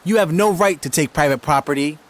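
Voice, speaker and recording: A man's voice: on the high side, brisk, natural voice. A man playing a proud, defiant seminary student who declaims indignantly in a period audio drama. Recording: long hall reverb, background noise.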